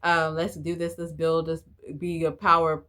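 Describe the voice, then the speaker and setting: A woman's voice: low-pitched, completely flat delivery, moderate pace, sharp tone. A woman in her forties, a native Spanish speaker, chatting candidly to camera in a rambling vlog. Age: 40s. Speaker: vlogger. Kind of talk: casual talk to camera.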